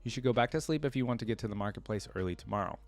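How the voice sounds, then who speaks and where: A man's voice: lowish voice, animated, very fast. Man reading as curious travelling mender woman. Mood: concerned.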